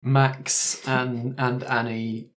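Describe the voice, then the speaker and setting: A man's voice: medium pitch, level tone, drawn-out words, fairly bright tone. A man who plays in and presents a tabletop roleplay show, narrating in a storytelling style. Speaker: player, producer and presenter of the show.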